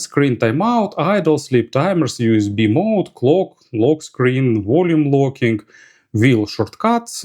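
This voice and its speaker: A man giving a product review as an audio gear reviewer, speaking in a halting, hesitant way. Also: medium pitch, a little mellow.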